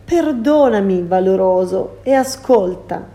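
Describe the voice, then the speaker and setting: A woman's voice: mid-range voice, animated, a little slow, warm tone. A woman voicing a scheming sorceress in a storybook reading, sweet and mocking by turns. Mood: pleading. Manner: sugary then mocking, cackling. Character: sorceress woman, scheming, cruel then pleading. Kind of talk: storybook character voice.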